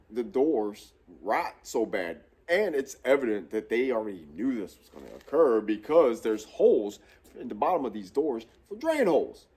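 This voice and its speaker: A congested man in his thirties talks through a shop tutorial with a stuffy, nasal voice. His voice is low, dark and husky, and his delivery is laid-back and rambling. He is recorded on a camera at mid distance in a big metal shop. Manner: laid-back and rambling, with frequent self-corrections. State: stuffy nose from a cold. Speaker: host of a truck build series. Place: large metal fabrication shop, indoors. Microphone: camera on a tripod at mid distance.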